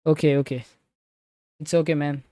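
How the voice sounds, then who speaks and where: Man, from Switzerland, live trading stream, halting, filler-heavy, mellow tone, close dry mic. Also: fairly high pitch, little pitch movement, no room sound. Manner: halting, many fillers and restarts, loose grammar. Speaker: crypto trading livestreamer. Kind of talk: live market commentary, answering chat.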